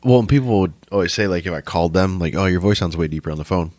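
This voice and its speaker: A man in his thirties co-hosting a podcast in casual banter, with a deep, congested voice and a dry, joking manner. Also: darkish tone, dry recording. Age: 30s. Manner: dry joking, quick asides. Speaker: podcast co-host.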